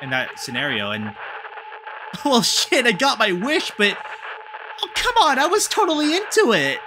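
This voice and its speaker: A man giving casual let's-play commentary as a streamer, thinking aloud in an animated, sweary way. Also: very high voice, unhurried, background noise. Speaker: streamer reading a visual novel aloud. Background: game music.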